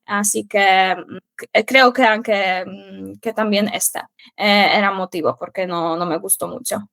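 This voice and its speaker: A young woman from Poland with a Polish accent, a native Polish speaker answering interview questions in a halting way as she searches for words. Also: mid-range voice, steady tone, gravelly, a bit bright, dry recording. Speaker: guest, Spanish teacher.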